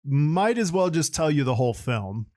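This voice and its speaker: A man's voice: a little high, very animated, normal speed, darkish tone, no room sound, studio quality. A man hosting a podcast in a casual, chatty way with joking banter. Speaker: podcast host.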